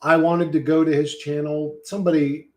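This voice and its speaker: A man's voice: a little high, little pitch movement, normal speed, darkish tone. A man streaming live, chatting casually with his viewers, swearing freely and calling everyone bro. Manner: loose, profane, says "bro" a lot; rambling, reads and answers chat.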